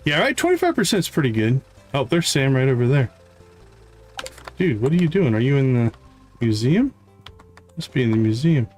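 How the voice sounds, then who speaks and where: A man streams a video game with casual running commentary, thinking out loud and chatting to viewers. His mid-range voice moves around in an animated way. Soft game music plays behind him. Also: medium pitch, normal speed, long pauses. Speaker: livestream host playing a video game.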